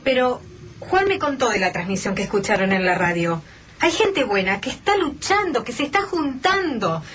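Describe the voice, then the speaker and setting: A woman's voice: on the high side, big pitch swings, moderate pace, fairly bright tone, husky, heavily acted. An actress playing a hopeful woman survivor in a radio drama, speaking earnestly. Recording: noisy radio-drama recording.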